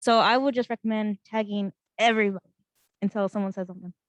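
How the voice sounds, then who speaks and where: A woman's voice: mid-range voice, steady tone, quick pace, no echo. A woman, a student, adding to a meeting discussion over a video call, rambling and correcting herself.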